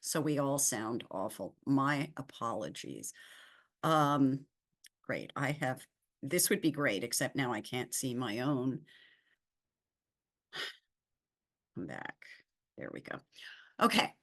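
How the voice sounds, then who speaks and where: A woman lecturing as a guest speaker on a video call, slightly hoarse from a cold, explaining with emphatic repetitions. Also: extremely low pitch, sing-song, slow pace, pauses often, fairly bright tone. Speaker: veterinary behavior professor, guest speaker. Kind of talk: lecture-style webinar presentation.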